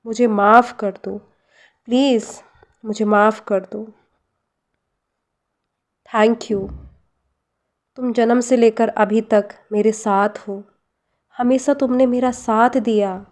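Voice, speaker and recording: An Indian woman leading a guided meditation and prayer, speaking gently and deliberately with pauses and repeated phrases. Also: fairly high pitch, fairly even intonation. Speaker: guided meditation leader, life coach.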